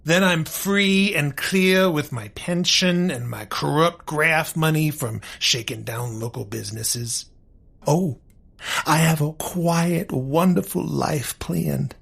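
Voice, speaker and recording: An actor playing a corrupt, lazy old police sergeant with a creaky voice in a comic audio drama. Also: male voice, fairly high pitch, full character voice. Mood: dreamy, wistful. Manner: dismissive, sarcastic, drawling.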